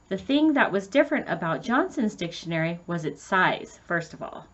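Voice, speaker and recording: A woman's voice: medium pitch, highs cut off. A woman voicing an earnest schoolboy giving an oral report in a storybook, in a plain manner.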